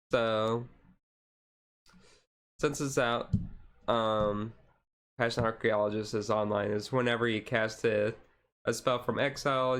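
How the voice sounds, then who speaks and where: Male streamer, laid-back and rambling, informal slangy chat, little pitch movement. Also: a bit bright, smooth. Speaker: livestreamer. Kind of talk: casual livestream chat.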